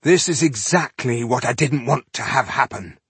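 A man's voice: mid-range voice, lively intonation, normal speed, fairly bright tone, slightly rough, no room sound, pitched above the performer's own voice, full character voice. A man voicing a wrathful, dangerous dwarf commander in a fantasy audiobook, snarling and emphatic. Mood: furious.

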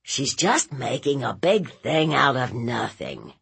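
A woman's voice: very deep voice, sing-song, slow, vocal fry, fairly bright tone, breathy edge, exaggerated voice. A woman voicing a sullen, evasive seventeen-year-old boy in a story, giving short, defensive answers. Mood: sulky excuses.

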